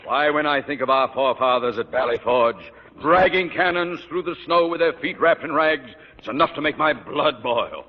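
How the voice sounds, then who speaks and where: An actor playing a pompous, irascible middle-aged school principal who scolds in formal, blustering speeches in a vintage radio sitcom. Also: male voice, moderate pace, close to an everyday voice. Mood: pompous, indignant. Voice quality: blustering, booming delivery. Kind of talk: 1950s radio sitcom acting. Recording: muffled old radio recording, noisy, reverberant.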